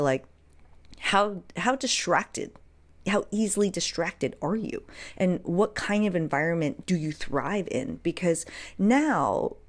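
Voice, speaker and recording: Lower-pitched woman hosting a casual podcast. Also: a little mellow. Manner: conversational, emphatic, with rhetorical questions and asides. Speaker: podcast host. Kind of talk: casual solo podcast talk giving advice.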